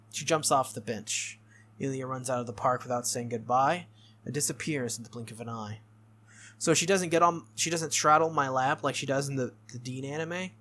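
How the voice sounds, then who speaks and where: A man's voice: mid-range voice, bright, forceful tone. A man narrating a visual novel aloud as a gaming video host, in a read-through style.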